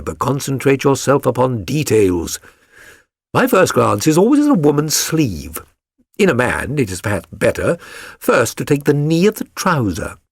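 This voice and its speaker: A man's voice: on the high side, breathy edge, no room sound, clean studio sound, heavily acted. A man voicing a keen, self-assured detective for an audiobook, with a British accent and a precise, lecturing, condescending manner. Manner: precise, didactic, theatrical. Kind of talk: dramatised audiobook reading.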